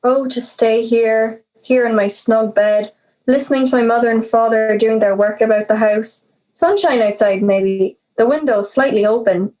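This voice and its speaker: A woman's voice: on the high side, steady tone, normal speed, dark tone, natural voice, rough recording. A young woman playing an anxious, reluctant, brooding teenage girl in an audio drama. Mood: dreamy. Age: teenager or young adult. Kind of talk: audio drama monologue and dialogue.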